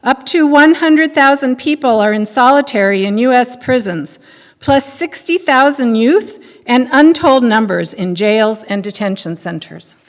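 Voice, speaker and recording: An older woman reads a script aloud to the public. Her voice is fairly high, and it rings through a reverberant hall. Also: expressive, a little slow. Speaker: reader in a readers theater.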